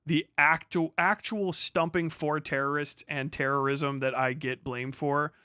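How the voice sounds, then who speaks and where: A man's voice: on the high side, fairly even intonation, unhurried, sharp tone, clean tone, no echo, clean studio sound. An American man hosting a livestream, talking casually and reacting to chat in a profane, slangy, rambling way. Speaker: livestream host. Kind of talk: casual livestream commentary, reading and answering chat.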